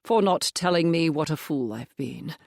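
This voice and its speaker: A gentle middle-aged clergyman, rueful and apologetic, speaking softly and a touch lower than the reader's own voice, as a woman narrator puts him on. The tone is low and even, and the recording is dry and close. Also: normal speed, no room sound. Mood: sheepish. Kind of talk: audiobook dialogue.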